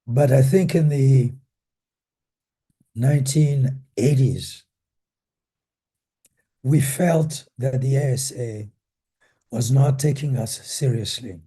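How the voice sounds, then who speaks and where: An older man, hoarse with a cold and losing his voice, recalls history in a measured, halting panel talk as a retired academic. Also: medium pitch, dark tone. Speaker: panelist, retired professor and former association president.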